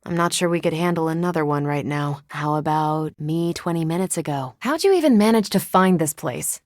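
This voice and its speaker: Video game superheroine, ex-military pilot: American, slightly low register, self-assured, dry teasing wit, very dry recording. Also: female voice, lively intonation, brisk, darkish tone, no echo. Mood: dry, conversational. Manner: casual, dry, teasing confidence. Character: confident, wry female superhero and former military pilot. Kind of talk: video game character dialogue.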